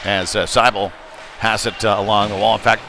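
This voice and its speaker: A man's voice: fairly low pitch, level tone, fairly quick. A man calling live play-by-play of a youth hockey game in a folksy, conversational way, with analytical asides. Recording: noisy rink ambience behind voice.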